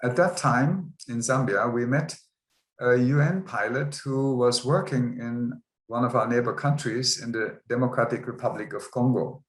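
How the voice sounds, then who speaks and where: A man recounts his life in an earnest, measured way. His voice sits at an ordinary pitch, and it rings in a roomy, echoey space. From Germany. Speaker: guest. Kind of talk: interview storytelling. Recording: roomy echo.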